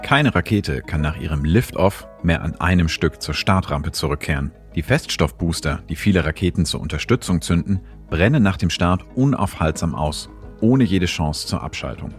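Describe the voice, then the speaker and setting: A man's voice: low-pitched, lively intonation, normal speed, faint music behind. A man narrating scripted science explanations as a podcast host.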